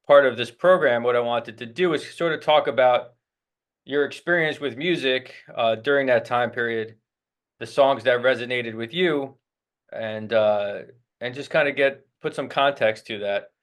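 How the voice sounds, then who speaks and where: A man's voice: mid-range voice, unhurried. A man hosting a casual interview with his guitar student, speaking loosely with filler words. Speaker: host, guitar teacher.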